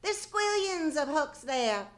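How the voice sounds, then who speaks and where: A fussy small-town mother in an old-time radio comedy. Her voice is high and jumps up and down in pitch as she nags in homely, colloquial phrases. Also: big pitch swings, takes it slowly, a bit bright, exaggerated voice. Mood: gossipy, curious. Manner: exasperated, folksy, colloquial. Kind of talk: 1930s radio comedy acting on stage.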